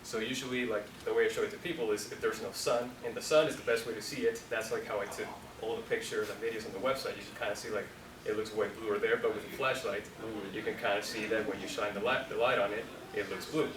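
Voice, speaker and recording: A man's voice: fairly low pitch, fast. Young man rambling in an echoey room. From Colombia. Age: 20s. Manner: relaxed, rambling, heavy use of "like". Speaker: company founder speaking to students. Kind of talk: casual talk to an audience. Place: university room. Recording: echoey room, distant mic, noisy.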